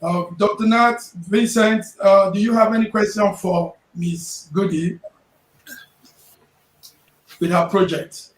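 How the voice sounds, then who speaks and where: A man's voice: high voice, steady tone, slow pace, long pauses. A man from Nigeria hosting a panel discussion, speaking hesitantly and often repeating words. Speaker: panel host. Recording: roomy studio with faint noise.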